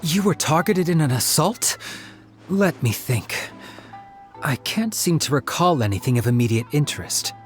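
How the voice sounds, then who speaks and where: Man playing a courteous, highly animated detective. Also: moderate pace, close to an everyday voice. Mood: polite, candid. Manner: courteous, expressive, explanatory. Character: polite, talkative, principled male detective agency investigator. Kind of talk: video game voice acting. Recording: faint music behind the voice.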